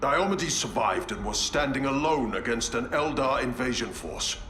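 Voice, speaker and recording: An actor playing an honorable, stern space marine commander who speaks formally and gravely. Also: male voice, medium pitch, expressive, moderate pace, fairly bright tone, natural voice. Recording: echoey game audio with music.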